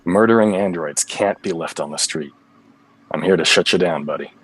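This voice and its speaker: A man playing a weary, self-serious hardboiled cop in a radio play, with deadpan noir-style delivery. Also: low-pitched, normal speed, slightly rough. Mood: stern.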